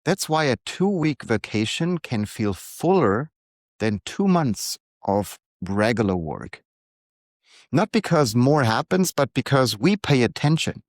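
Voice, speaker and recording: A middle-aged man, speaking calmly and reflectively in a prepared monologue to his readers. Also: medium pitch, no echo, studio quality. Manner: calm, unhurried, reflective. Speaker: newsletter author and coach.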